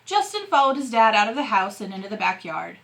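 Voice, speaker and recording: A woman teacher reading a novel aloud in an expressive narrating style. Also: medium pitch, fast, vocal fry.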